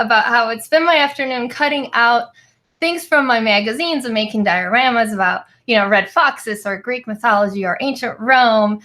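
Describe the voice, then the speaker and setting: A woman in her twenties, originally from Poland, explains her path into engineering in an earnest way with plenty of "uh"s. Her voice is bright and forward. She talks over a video call from home. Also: fairly high pitch. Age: 20s. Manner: earnest, frequent fillers. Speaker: guest engineer. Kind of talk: webinar talk, personal story.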